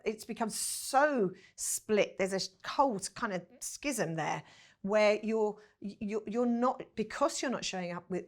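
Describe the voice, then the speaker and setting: A woman speaking as a podcast guest. She talks reflectively and repeats words as she builds a point. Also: medium pitch. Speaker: podcast guest, vocal coach. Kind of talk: casual conversation.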